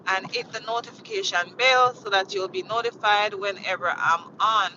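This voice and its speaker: A woman's voice: medium pitch, normal speed, bright, forceful tone, muffled recording, a little hiss or hum. A woman from Jamaica, chatting casually on a livestream, rambling and repeating herself as she greets viewers from inside a vehicle. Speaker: livestream host. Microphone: phone mounted on dashboard.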